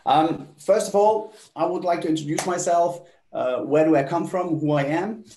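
A man's voice: a little high, moderate pace, dark tone, echoey room, slight background noise. Man telling stories on a video call. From Belgium. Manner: storytelling with hesitations. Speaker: speaker. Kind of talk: webinar talk. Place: home office.

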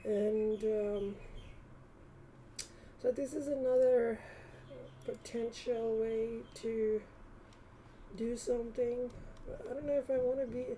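A woman vlogger talks casually and hesitantly, thinking aloud as she browses. Her voice sits a little high with a mellow, relaxed tone. There is faint noise behind her in the recording. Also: slow pace, long pauses. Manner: rambling, hesitant.

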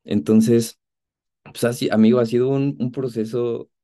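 A man of about thirty with a Mexican accent, giving an earnest, conversational personal testimony in a halting way over a video call. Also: medium pitch, level tone, mellow. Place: indoor room at home, on a video call.

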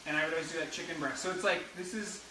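A man's voice: a little high, racing, pushed and bright, distant mic, slight background noise. A young man demonstrating a recipe on a home cooking show, laid-back and rambling with lots of fillers. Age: young adult. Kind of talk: casual cooking demo. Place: home kitchen. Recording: roomy, a bit off-mic.

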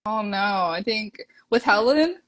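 A woman's voice: mid-range voice, expressive, unhurried. Friendly interviewer woman from the American South, eager, easy conversational host. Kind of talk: casual interview.

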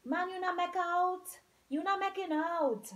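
A woman voicing a thin, hungry, desperate village man who pleads and accuses loudly. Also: extremely high pitch, unhurried, voice pushed up. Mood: teasing.

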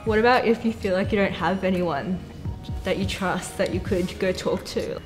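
A woman's voice: medium pitch, normal speed, soft background music. A young Chinese Australian woman talking casually in a seated group conversation, thoughtful and full of "like" fillers. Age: young adult. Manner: thoughtful, uses many fillers like "like". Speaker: panel guest. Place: bright studio with plants, indoors.

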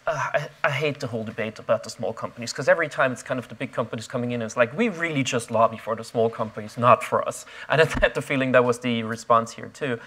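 A young man, speaking onstage at a conference, quick and restless with many fillers and restarts, recorded on a lapel mic. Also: mid-range voice, bright, forceful tone, husky. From Austria. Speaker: invited speaker. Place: conference stage before an audience.

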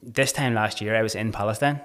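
Podcast guest, thirties man, Belfast accent, studio desk mic, steady low delivery, searching restarts. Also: a little fast. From Northern Ireland. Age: 30s. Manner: earnest, searching, frequent restarts. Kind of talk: casual conversation. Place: small bright studio room. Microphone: desk mic on boom arm.

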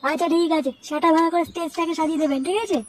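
A performer voicing a bossy young male club organiser in a cartoon comedy, giving brisk orders. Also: very high voice, steady tone, far from the mic. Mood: instructing.

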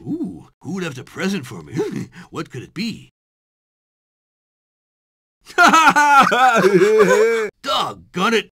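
Woman doing a mischievous cartoon boy, cocky n teasing, low voice, very lively delivery. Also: normal speed, creaky, hardly any pauses, slightly rough, exaggerated voice. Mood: playful. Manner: sardonic, teasing. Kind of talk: animated series dialogue.

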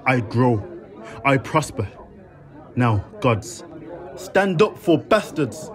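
A bold, scheming young villain of a Shakespearean radio play , acted by a man. He declaims sardonically at a middle pitch, with faint crowd sound behind him. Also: very animated, takes it slowly. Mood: scheming, defiant. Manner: theatrical verse declaiming, sardonic. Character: scheming, defiant bastard son, sardonic and bold. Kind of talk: Shakespearean audio drama.

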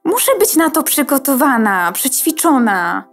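A woman's voice: sits high, very animated, a little slow, soft background music, exaggerated voice. A woman playing a vain, self-important opera diva in a theatrical children's radio play. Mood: grand, self-satisfied. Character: vain female opera diva, a computer component.

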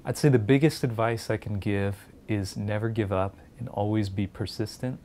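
Earnest man, lower voice, lab interview. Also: fairly even intonation, normal speed, dark tone. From India, raised in New Jersey. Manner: earnest, encouraging. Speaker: pediatric clinical fellow. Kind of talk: interview talking to camera. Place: indoor research lab. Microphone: lapel mic.

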